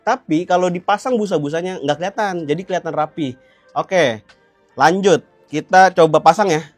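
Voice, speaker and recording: A young man with a Jakarta Indonesian accent and a slightly high voice walks through gear as a vlog host. He explains in a colloquial, casual way and often checks in with the viewer. Music plays behind him. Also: normal speed, no room sound. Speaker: motovlog host.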